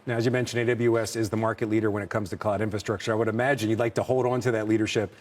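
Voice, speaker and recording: Male business news anchor, brisk talker, pointed questions, live TV interview. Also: fairly low pitch, flat delivery, darkish tone. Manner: reads long quotes, asks pointed questions.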